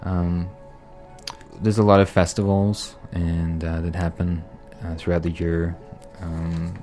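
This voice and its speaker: A man hosting a radio show from the studio, asking slow, halting questions with many fillers in a casual on-air interview. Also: deep voice, flat delivery, dark tone. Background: faint ambient music.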